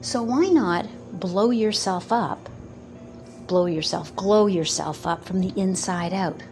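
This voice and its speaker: Woman in her 50s, slow and earnest motivational talk, faint music underneath. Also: mid-range voice, darkish tone. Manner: slow, pausing, earnest, quotes doubters in put-on voices. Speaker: manifestation coach.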